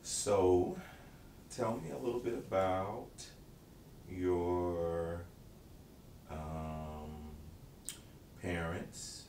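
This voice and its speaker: Deep lively man interviewing off-mic. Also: very slow, long pauses. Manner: upbeat, laughing, hesitant fillers. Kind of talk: casual interview. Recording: distant, echoey, noisy room.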